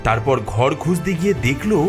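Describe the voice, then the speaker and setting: A man's voice: fairly high pitch, expressive, fairly quick, music in the background. A man narrating a children's fairy tale in a dramatic, scripted storytelling style.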